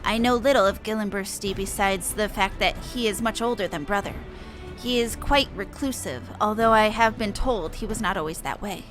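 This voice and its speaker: A woman voicing a gentle, thoughtful young dragon in a fantasy game, speaking in a measured, formal way. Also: medium pitch, faint music behind, lightly acted, poor audio. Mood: pensive. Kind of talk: reading game dialogue aloud in character.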